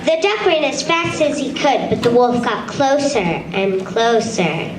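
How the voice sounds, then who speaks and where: A woman's voice: a little high, expressive, a little slow, loud music behind the voice. A woman reading a children's story aloud as narrator of a puppet show, sometimes stumbling over the words. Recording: echoey room, far from mic, noisy.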